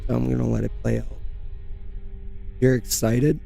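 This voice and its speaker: A man's voice: mid-range voice, little pitch movement, normal speed, vocal fry, dark tone, soft background music. A man streaming a video game in casual live chat, with his voice giving out as he talks. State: losing his voice.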